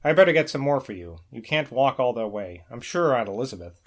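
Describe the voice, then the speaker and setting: A man's voice: medium pitch, animated, a little fast, lightly acted. An earnest young woman from a novel read by a man, shyly smitten and devoted. She speaks in a practical, caring manner, as if confiding in someone close. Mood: concerned, practical.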